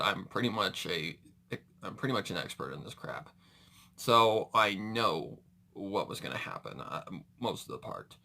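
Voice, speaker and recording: A man's voice: fairly low pitch, creaky, pushed and bright. A man giving casual reaction commentary as a video host, rambling and correcting himself as he goes, with mild slangy exclamations.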